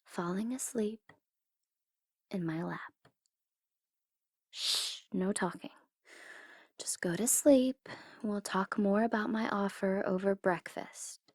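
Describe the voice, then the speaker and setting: Woman performing tsundere villain mastermind, female, unhurried, smug yet secretly soft, breathy murmurs, close dry sound, ASMR roleplay. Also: medium pitch, dry recording. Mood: coaxing, soothing. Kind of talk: ASMR audio roleplay, intimate and theatrical.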